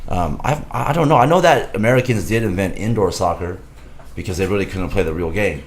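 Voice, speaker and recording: Relaxed deep-voiced guy, rambling storyteller, laughs easily. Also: brisk. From California. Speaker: guest, former soccer goalkeeper. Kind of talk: casual chat.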